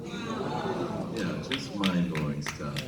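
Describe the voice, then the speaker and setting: An American man telling humorous stories onstage to a concert audience, conversational, with deliberate pauses before punchlines. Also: low voice, huge pitch swings, a crowd in the background. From Minnesota, United States. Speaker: singer addressing a concert audience. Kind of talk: humorous onstage storytelling between songs. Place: large casino concert venue. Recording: echoey live hall, distant noisy PA sound.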